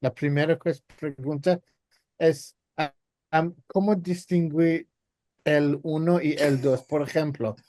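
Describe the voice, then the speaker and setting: A man's voice: fairly high pitch, fairly even intonation, slow, a little mellow, no room sound. A man from England with an English accent, a native English speaker, asking questions informally and tentatively from the audience at an academic talk.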